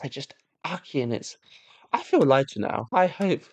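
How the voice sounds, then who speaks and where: A young man ranting sarcastically and with exasperation to his audience, breaking into sudden high-pitched outbursts. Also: sing-song, moderate pace, dry recording. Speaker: vlogger. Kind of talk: comedic rant to camera.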